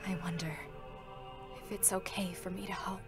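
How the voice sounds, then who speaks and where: A woman's voice: mid-range voice, little pitch movement, normal speed, loud music behind the voice, natural voice. An actress playing a stoic, sorrowful female android soldier in a dramatic monologue, restrained, solemn and formal. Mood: anguished, weary. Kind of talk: dramatic scripted monologue reading.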